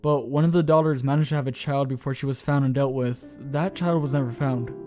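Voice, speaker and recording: A man's voice: mid-range voice, steady tone, a little fast, dark tone, soft background music, natural voice. A man playing a proud young pureblood vampire heir in a scripted roleplay, earnest and formal. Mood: serious.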